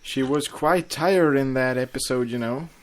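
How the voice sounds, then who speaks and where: A man with a mid-pitched voice co-hosts a podcast. He moves between reading a story aloud and casual, unpolished chat. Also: moderate pace, slight background noise. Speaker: podcast co-host reading fan fiction.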